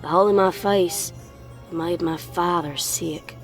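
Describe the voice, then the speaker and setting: A woman's voice: fairly low pitch, steady tone, a little slow, faint music behind, lightly acted. A woman voicing a troubled young pony who narrates her secret horror story in a brooding, folksy way. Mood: haunted confession. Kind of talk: dramatic first-person horror storytelling.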